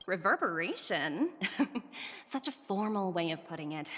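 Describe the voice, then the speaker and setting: A woman's voice: fairly low pitch, sing-song, normal speed, fairly bright tone. A woman voicing a poised, playful young corporate director in a video game, teasing and coolly confident in a polished way. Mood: polite, amused.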